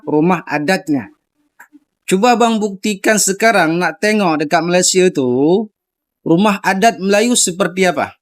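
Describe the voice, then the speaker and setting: A man speaking directly to someone in a video response, insistently challenging him with repeated questions. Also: high voice.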